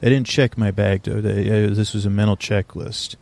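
A low-voiced man with a gravelly, mellow tone rambles slowly through a bedtime monologue for a sleep podcast. He drifts off topic, restarts his sentences and keeps a languid, unhurried pace. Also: level tone, no echo. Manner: languid, meandering, self-interrupting.

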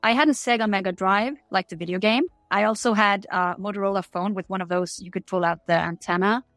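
A woman's voice: mid-range voice, fairly even intonation, brisk, fairly bright tone, dry recording, soft background music. A woman from Norway with a Norwegian accent, answering interview questions in a candid, chatty way. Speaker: interview guest.

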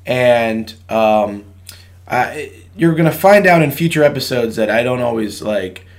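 A young man from Virginia co-hosting a podcast in casual chat, his voice low and rough after a late night, rambling and laughing often. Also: lively intonation, measured pace. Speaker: podcast co-host.

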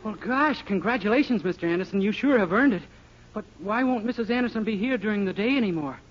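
An actor playing a naive, eager young clerk, guileless and deferential, in a 1940s radio sitcom. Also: male voice, sits high, lively intonation, quick pace. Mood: earnest, surprised. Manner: guileless, gee-whiz, deferential. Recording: muffled, very noisy 1940s radio recording.

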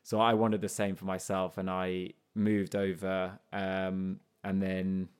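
Male artist guest, low voice, relaxed and reflective, with frequent restarts. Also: takes it slowly, clear, resonant tone. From grew up in Portugal, then England. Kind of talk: casual conversational interview.

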